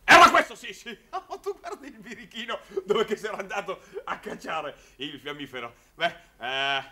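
Flustered, bright-voiced fretful uncle. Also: male voice, high-pitched, lively intonation, slightly rough, exaggerated voice. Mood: pleased, musing. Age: middle-aged. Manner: dithering, repetitive, halting, theatrical. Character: flustered, fretful, blustering middle-aged circus uncle. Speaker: actor in a television series. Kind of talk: 1960s Italian TV drama acting. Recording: vintage 1960s television soundtrack.

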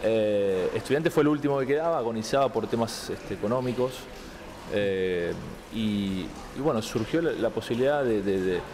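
A man's voice: lowish voice, expressive, normal speed. Argentine man with a noisy background. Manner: thoughtful, many restarts and filler "eh". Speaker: interviewee. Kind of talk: relaxed interview answers. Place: indoor room, seated on a couch. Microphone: handheld mic held out by interviewer.

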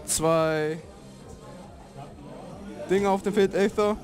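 A man's voice: high-pitched, steady tone, very slow, faint music behind. A young man, commentating a card game tournament in a laid-back, slangy way.

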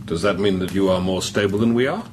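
A man playing a gentle, probing priest in a radio drama, speaking thoughtfully with careful questions and pauses. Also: low-pitched, background noise, lightly acted. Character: gentle, probing priest, an investigator sent from Rome. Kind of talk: naturalistic radio drama acting.

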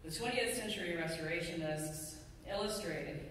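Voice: mid-range voice, moderate pace, a bit bright. Echoey sermon from a middle-aged pastor. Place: church sanctuary pulpit, indoors. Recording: very echoey hall, distant mic, faint noise.

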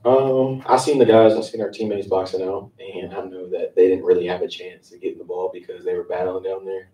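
A young man, a college basketball player answering in a soft-spoken, hesitant way that trails off. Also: deep voice, little pitch movement, brisk. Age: young adult. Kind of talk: press conference answers. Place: press conference room. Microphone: table mic at a distance. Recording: echoey room, distant mic.

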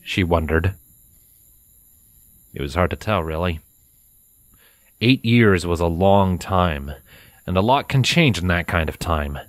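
A man with a deep voice reads fiction as a narrator. His delivery is scripted and dramatic, recorded dry and close. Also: lively intonation, takes it slowly, long pauses, no room sound.

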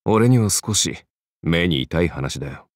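An actor playing a cool, wry male warrior who speaks in a measured, philosophical way as a game character. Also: deep voice, lively intonation, dry recording, clean studio sound. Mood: wry, pensive. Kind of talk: Japanese game character dialogue.

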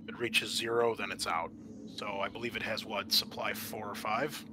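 A man speaking haltingly as game master, narrating scenes and explaining rules in a tabletop roleplaying game. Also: lowish voice, level tone. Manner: hesitant, halting, thinking aloud. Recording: clear background noise, amateur podcast.

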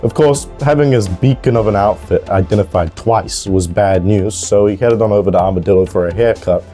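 A man's voice: lowish voice, a little fast, warm tone, soft background music. A man reading scripted narration of a game roleplay story, in a wry, wordy storytelling way. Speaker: narrator and video creator.

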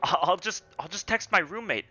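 A man's voice: high voice, lively intonation, moderate pace, sharp tone, full character voice. A man acting in a radio play as a sarcastic, skeptical young guy who is easily rattled. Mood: panicked.

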